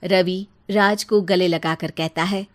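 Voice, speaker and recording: A woman's voice: fairly low pitch, fairly even intonation, slow. A woman narrator reading a scripted cartoon moral story.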